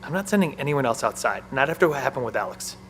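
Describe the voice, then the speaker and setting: A worried bunker technician in an audio drama. He explains things hesitantly, with a protective, anxious edge. His voice sits at a middle pitch over a faint background hum. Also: big pitch swings, quick pace, a bit bright. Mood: grim, worried.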